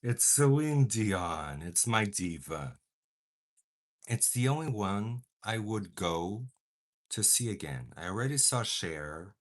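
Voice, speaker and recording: A man's voice: lowish voice, expressive, takes it slowly. A young man whose first language is Portuguese chats casually while doing his makeup, with dramatic bursts of mock outrage. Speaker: beauty vlogger.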